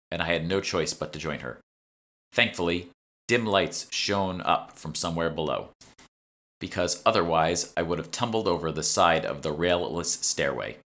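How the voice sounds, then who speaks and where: A man's voice: sits low, sharp tone. A man reading scripted first-person audiobook narration as the author.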